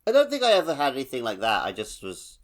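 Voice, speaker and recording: A man's voice: mid-range voice, wildly swooping pitch, quick pace. A man co-hosting a podcast, chatting informally about a game. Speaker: podcast co-host. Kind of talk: casual game discussion podcast.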